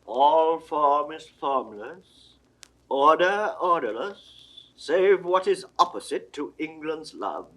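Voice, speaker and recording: An actor playing a stern, imperious cardinal, declaiming in measured, commanding classical Shakespearean radio-drama style. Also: male voice, on the high side, expressive, a bit bright, rough recording. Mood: stern, threatening. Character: stern imperious Roman cardinal, threatening churchman. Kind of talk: 1960s classical Shakespearean audio drama acting.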